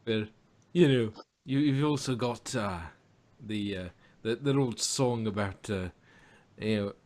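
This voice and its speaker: A man co-hosting a podcast in casual chat, with somewhat halting, hesitant phrasing. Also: fairly low pitch, a little mellow. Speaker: podcast host. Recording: dull lo-fi audio.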